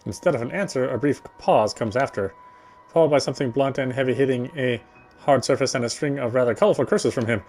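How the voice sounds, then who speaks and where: A man's voice: mid-range voice, fast, a little mellow. A man reading a visual novel's story text aloud as he plays, sometimes stumbling and rereading phrases. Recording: faint game music behind.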